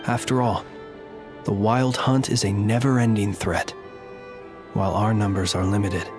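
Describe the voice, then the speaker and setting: An actor playing a solemn, courteous graveyard lightkeeper in a video game, speaking in a formal, measured, old-fashioned way. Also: male voice, fairly low pitch, steady tone, over music, natural voice. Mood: solemn, thoughtful. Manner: formal, measured, archaic diction.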